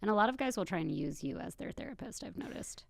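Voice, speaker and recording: Young American female, teasing and giggly, heavy vocal fry, informal friend-to-friend banter. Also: mid-range voice, expressive, fast talker, no room sound, studio quality. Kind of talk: casual chat between friends.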